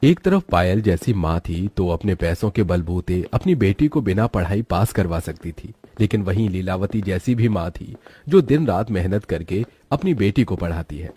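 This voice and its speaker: A man narrates a moral tale from a script. His voice is on the low side, mellow and husky, in a very dry recording. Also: expressive, normal speed, hardly any pauses.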